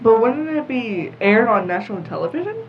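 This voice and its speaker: A woman's voice: on the high side, lively intonation, a little fast, dull audio, a little hiss or hum. A teenage American girl talking casually as a panelist in a group discussion. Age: teens. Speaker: podcast panelist.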